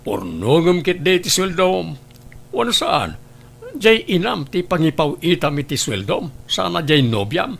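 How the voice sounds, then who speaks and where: Guy playing grumbly stingy ilocano uncle, creaky highish voice, blunt and nagging. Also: very animated, a little slow, a little hiss or hum, exaggerated voice. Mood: scolding, disapproving. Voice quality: gravelly. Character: scolding, cynical, stingy older uncle. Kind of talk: Ilocano audio drama acting.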